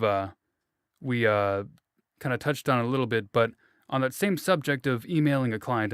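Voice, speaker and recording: A man's voice: on the low side, clean tone, dry recording, clean studio sound. A man hosting a casual interview, asking long rambling questions with hesitations and restarts. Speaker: interviewer, host.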